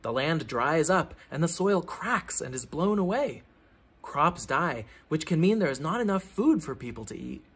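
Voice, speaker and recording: Expressive man reading aloud, husky and high-pitched. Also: moderate pace. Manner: theatrical emphasis on headings, clear explanatory phrasing. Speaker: children's book reader, teacher. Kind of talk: expressive read-aloud of a children's science book.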